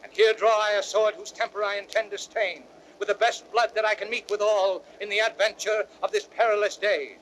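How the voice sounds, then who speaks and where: A hot-blooded young knight, high voice for a man, proud n intense, old-school shakespeare radio play. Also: steady tone, a little fast, a bit bright, slight background noise, low-quality recording. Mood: rousing. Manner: intense, declamatory. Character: fiery young rebel knight, proud, brave, impatient. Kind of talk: classical Shakespearean radio drama acting.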